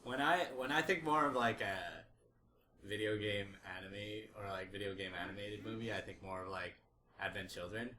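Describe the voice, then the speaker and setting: A man's voice: lowish voice, normal speed, sharp tone. A man co-hosting a podcast, talking in a casual, conversational style. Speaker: podcast co-host. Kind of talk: casual group chat. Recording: echoey room, faint background noise.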